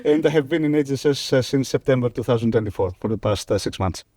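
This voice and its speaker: A man from Greece, a guest on a company talk show, speaking haltingly with many pauses. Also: medium pitch, mellow, dry recording. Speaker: podcast guest. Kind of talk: casual conversation.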